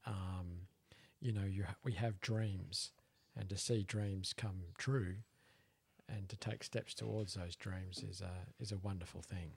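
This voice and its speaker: A man's voice: sits low, fairly even intonation, a little slow, gravelly, breathy edge. A man with an Australian accent co-hosting a podcast, earnestly asking his guest questions in casual chat. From Papua New Guinea. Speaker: podcast co-host, interviewer.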